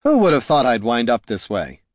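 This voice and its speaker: A man's voice: mid-range voice, huge pitch swings, normal speed, dry recording. A man voicing a dramatic bard who is plaintive, self-pitying and theatrically aggrieved. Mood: aggrieved lament.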